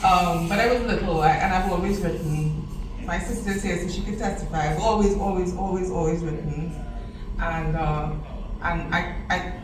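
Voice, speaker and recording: A woman's voice: lowish voice, steady tone, normal speed. A woman author answering questions in a festival interview, effusive and laughing as she talks. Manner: effusive, warm thanks, laughing. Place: event venue, large room. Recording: roomy echo, distant, noisy.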